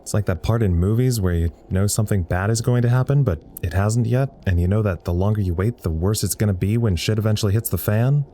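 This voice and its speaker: An actor playing a bored, sardonic communications officer at a secret lab, wry and rambling in naturalistic audio drama style. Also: male voice, fairly low pitch, fast talker, hardly any pauses, dark tone, a little hiss or hum, close to an everyday voice.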